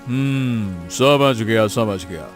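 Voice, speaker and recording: A man's voice: on the low side, animated, slow, faint music behind. A man voicing a callous, greedy village healer in a Hindi cartoon story, drawling and hemming with a dismissive sneer. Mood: brusque.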